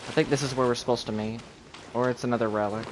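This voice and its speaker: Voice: mid-range voice, fairly even intonation, quick pace, noisy background. A game streamer giving casual live commentary, reacting offhand in short asides.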